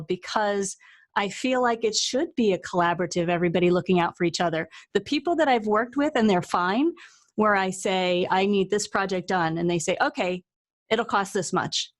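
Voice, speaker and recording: A woman hosting an interview and talking in a casual, conversational style. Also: medium pitch. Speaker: interview show host.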